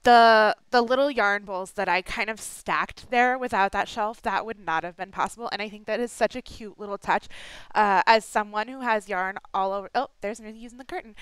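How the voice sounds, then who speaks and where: A woman's voice: medium pitch, fairly bright tone, clear, resonant tone, no room sound, rough recording. A young woman with a stuffed-up nose giving a rambling, apologetic casual voice-over, full of fillers and restarts, recorded close on a home mic. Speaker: game builder narrating a speed build.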